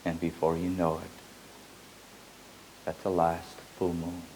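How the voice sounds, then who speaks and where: Deep, flat-voiced man teaching slowly. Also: slight background noise, poor audio. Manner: repetitive, incantatory phrasing. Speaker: spiritual teacher. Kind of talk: slow meditative spiritual teaching.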